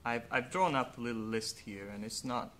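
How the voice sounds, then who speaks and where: A young man, a native Hungarian speaker, speaking slowly and earnestly in a reflective personal monologue with faint background noise. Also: mid-range voice, fairly bright tone. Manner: slow, thoughtful, earnest. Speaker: vlogger.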